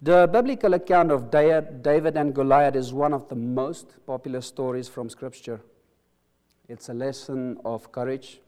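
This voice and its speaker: A man's voice: medium pitch, dark tone. Earnest man with Afrikaans accent preaching. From South Africa. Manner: earnest, halting with restarts and stresses. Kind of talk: sermon with opening prayer.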